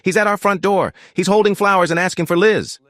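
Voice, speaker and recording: A man's voice: high voice, steady tone, a little fast, fairly bright tone, no room sound, clean studio sound, pitched above the performer's own voice. A man voicing a worried, frightened wife on a phone call, strained and anxious in manner. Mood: anxious urgency.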